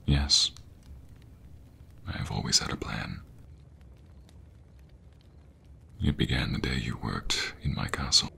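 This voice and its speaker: A man playing a devoted king in a romantic fantasy ASMR roleplay, speaking tenderly in a breathy, gravelly murmur with formal, courtly phrasing. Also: a bass voice, moderate pace, bright, forceful tone, full character voice. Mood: earnest, confiding. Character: devoted young king secretly in love with a commoner.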